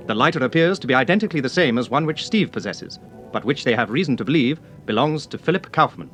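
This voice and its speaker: A man's voice: medium pitch, expressive, fast talker, a bit bright, soft background music, close to an everyday voice. A man playing the narrator of a radio mystery serial, giving a scripted recap. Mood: brisk.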